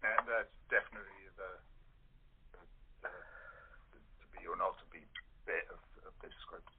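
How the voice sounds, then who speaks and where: Male cast guest, deep voice, casual talk, telephone-quality sound. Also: a little slow. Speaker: actor, interview guest. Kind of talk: casual panel chat. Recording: muffled narrow phone line.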